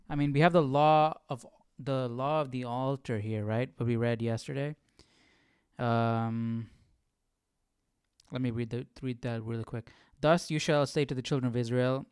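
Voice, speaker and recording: A man hosting an informal livestream Bible study, reading aloud and thinking out loud in a musing, hesitant, self-questioning way. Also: mid-range voice, clear, resonant tone, no echo, studio quality.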